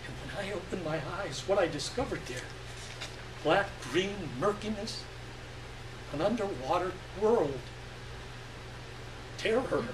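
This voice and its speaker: A man giving an expressive, deliberate dramatic reading of literary fiction on stage. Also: high-pitched. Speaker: story reader. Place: live stage reading event. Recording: echoey room, distant mic, faint noise.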